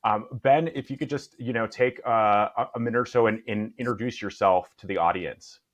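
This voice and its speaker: A man hosting a podcast, asking his guest questions in a hesitant, halting way. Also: fairly low pitch, dull audio. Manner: hesitant, many fillers and restarts. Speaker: podcast host. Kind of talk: interview questions.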